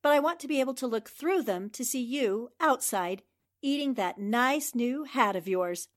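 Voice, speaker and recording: A woman acting a sharp, confident newspaper reporter in a 1940s-style radio drama, speaking in a crisp, assured way. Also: on the high side, expressive, moderate pace, natural voice. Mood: playful teasing. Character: sharp, confident female newspaper reporter turned amateur sleuth.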